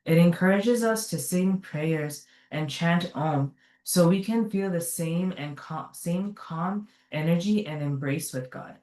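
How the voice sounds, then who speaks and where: A woman's voice: on the low side, unhurried, darkish tone. A woman earnestly reading a prepared speech at a community gathering, correcting herself now and then. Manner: earnest, careful delivery with small self-corrections. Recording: echoey room, mic at a distance.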